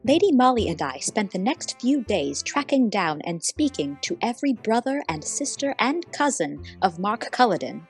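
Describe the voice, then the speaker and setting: Earnest lady detective narrator, faint music. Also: mid-range voice. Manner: formal, measured storytelling. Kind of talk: scripted radio play narration.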